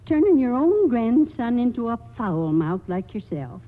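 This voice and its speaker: An actress playing a righteous, sharp-tongued old grandmother nagging her husband in a 1940s radio play. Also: on the high side, sing-song, unhurried, soft background music. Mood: scolding. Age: older. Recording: tinny, band-limited 1940s radio recording.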